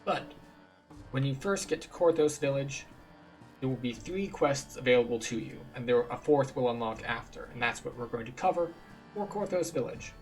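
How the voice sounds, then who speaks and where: Casual male gaming guide, middling pitch. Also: animated, normal speed, faint music behind. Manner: informal, explanatory. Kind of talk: casual game walkthrough commentary.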